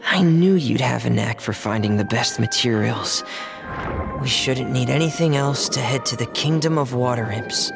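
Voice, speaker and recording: A woman playing a reserved, gentle and slightly awkward young character in a video game. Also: rumbling low, normal speed, vocal fry, loud music behind the voice. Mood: calm. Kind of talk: animated video game dialogue.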